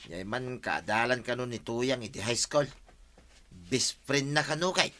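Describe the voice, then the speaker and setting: Lalaking gumaganap na maluwag na asawa. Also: male voice, mid-range voice, expressive, unhurried, pushed and bright. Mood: casual. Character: easygoing husband buying snacks on credit. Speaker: husband of the household. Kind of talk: Ilocano radio drama acting.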